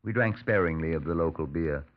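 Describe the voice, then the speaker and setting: An actor playing a measured, courteous middle-aged British Scotland Yard superintendent, narrating and questioning in a 1950s radio drama. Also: male voice, very deep voice. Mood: reflective narrating. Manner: measured, polite, probing. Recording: muffled 1950s radio recording.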